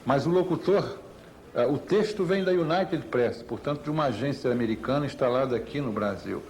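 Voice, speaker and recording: A Brazilian man talks without a script in an explanatory way, often asking himself questions. His pitch is average, and the old interview recording carries faint noise. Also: fairly quick, darkish tone, poor audio. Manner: explanatory, asks rhetorical questions. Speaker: interviewed commentator on media history. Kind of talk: unscripted explanatory talk.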